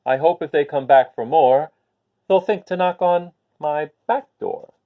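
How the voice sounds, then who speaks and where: A man's voice: fairly high pitch, measured pace, phone-quality sound, close to an everyday voice. A man voicing an oblivious young fairy-tale princess, blithely calling down from above. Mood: hopeful.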